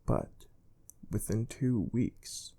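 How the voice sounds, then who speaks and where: Man playing a slow, soft, mellow space-agency interviewer. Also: lowish voice, lively intonation, heavily acted. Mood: calm, instructive. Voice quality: soft-spoken. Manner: measured, deliberate, formal. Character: calm, stern male space research job interviewer. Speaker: ASMR role-play performer. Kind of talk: soft-spoken ASMR role play.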